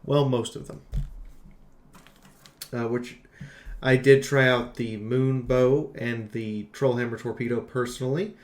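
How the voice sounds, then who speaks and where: A man's voice: mid-range voice, fairly even intonation, a little slow, pauses often, echoey room. A man giving a casual game review in an emphatic, exclamatory, jokey way, as a streamer talking through notes and ad-libs. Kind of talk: casual scripted game review with ad-libbed commentary.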